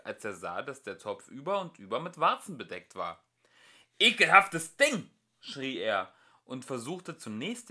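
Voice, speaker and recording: Bright, animated man reading a story. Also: mid-range voice. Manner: expressive storytelling. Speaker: amateur audiobook narrator. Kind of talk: storybook narration, read aloud.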